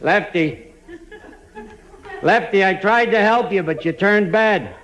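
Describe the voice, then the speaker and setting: A man's voice: sits high, lively intonation, takes it slowly, a bit bright, lo-fi sound, full character voice. An actor playing an earnest, noble policeman, solemn and melodramatic, in a 1940s radio crime parody. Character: earnest, noble policeman facing down his criminal brother. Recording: old 1940s radio broadcast recording.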